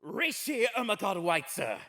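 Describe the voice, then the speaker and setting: A man's voice: high-pitched, very animated, measured pace, pushed and bright, full character voice. A man voicing a haughty young crown prince in an anime fan dub, with a pompous, declamatory manner. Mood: haughty, accusing.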